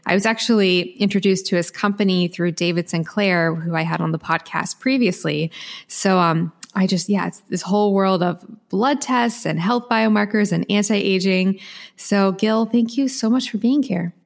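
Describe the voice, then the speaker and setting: A woman's voice: medium pitch, steady tone, moderate pace, creaky. Bubbly upbeat woman podcast host. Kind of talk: enthusiastic podcast interview.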